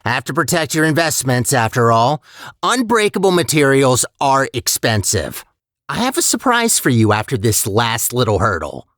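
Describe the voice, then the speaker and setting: A man's voice: medium pitch, very animated, moderate pace, a bit bright, no echo, clean studio sound. A man playing a chatty, sardonic and untrustworthy AI guide in a video game, with wry, hesitant conversational asides. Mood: wry, coaxing. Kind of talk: video game character voice lines.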